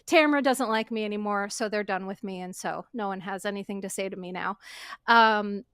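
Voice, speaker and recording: A woman's voice: medium pitch, fairly quick, fairly bright tone, smooth, no echo, studio quality. A woman talking earnestly on a podcast, emphatic and repeating phrases to drive her point home. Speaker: podcast guest. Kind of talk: casual podcast conversation.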